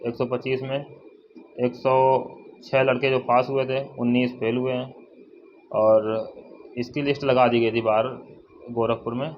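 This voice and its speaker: Young man, casual update vlogger, monotone, halting and repetitive, mid-pitched, dull recording. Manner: halting, repetitive, restating figures. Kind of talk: casual informational update to viewers. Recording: dull lo-fi, faint noise, birdsong.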